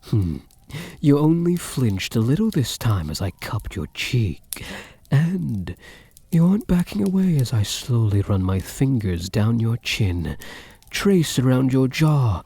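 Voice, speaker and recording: A man playing a possessive, seductive vampire count in a soft-spoken ASMR roleplay, teasing and menacing in an intimate, deliberate way. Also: medium pitch, dry recording, full character voice. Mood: seductive, hungry. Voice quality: soft, husky.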